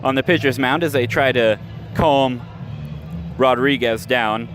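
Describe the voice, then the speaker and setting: A man doing radio play-by-play of a baseball game, giving a measured call with stats between pitches, recorded live at an outdoor ballpark. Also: over music. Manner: steady, measured call with stats between pitches, rising sharply on big plays. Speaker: play-by-play announcer. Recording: live ballpark recording with clear crowd noise.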